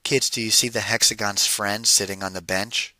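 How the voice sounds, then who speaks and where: A man reading a picture book aloud to children as a teacher, deliberate and emphatic, addressing them directly. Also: lowish voice, steady tone, sharp tone, no echo, poor audio. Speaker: read-aloud narrator and teacher.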